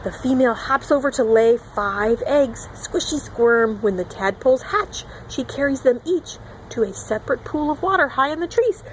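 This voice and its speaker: Female teacher for a children's read-along, higher-pitched and expressive, amateur recording with some background noise. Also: moderate pace, low-quality recording. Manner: expressive, acting out animal sound words. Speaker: children's teacher reading aloud. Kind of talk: picture-book read-along with asides to young children.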